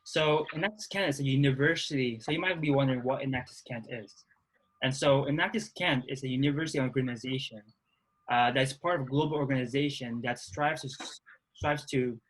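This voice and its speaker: A young man from Indonesia, a law student, hosting and introducing a webinar in a halting way with restarts. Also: medium pitch, a bit bright, rough recording. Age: young adult. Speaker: webinar host, student society president.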